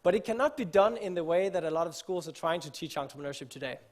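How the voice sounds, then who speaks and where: A man's voice: fairly high pitch, lively intonation, fast talker. A man from Denmark, giving an earnest conference talk on stage, explaining with frequent fillers and restarts. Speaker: conference speaker. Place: conference stage before an audience.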